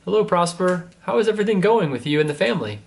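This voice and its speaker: A man voicing a young Roman deacon in a storybook, cheerful, gentle and caring in manner. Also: sits high, sing-song, fast, creaky, pitched above the performer's own voice, exaggerated voice. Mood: friendly, caring.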